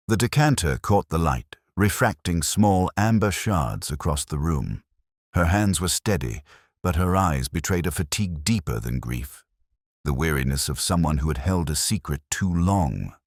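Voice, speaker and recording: Male narrator, extremely deep pitch, lively intonation, solemn literary delivery, scripted mystery storytelling. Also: measured pace, dry recording, clean studio sound. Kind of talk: scripted first-person mystery audiobook narration.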